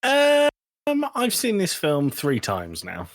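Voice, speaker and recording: A man's voice: fairly high pitch, huge pitch swings, takes it slowly, no room sound, studio quality. A man co-hosting a podcast, giving blunt opinions in a casual, slightly hesitant chat. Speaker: podcast co-host.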